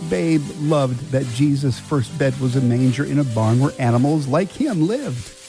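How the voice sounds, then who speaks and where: Male narrator, fairly high pitch, storybook delivery, scripted family radio drama, background music. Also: creaky, warm tone.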